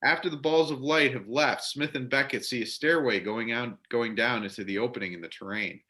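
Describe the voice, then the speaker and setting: Male narrator for amateur play stage directions, clear-cut bright tone, medium pitch. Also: brisk. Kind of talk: scripted narration, amateur readers theater.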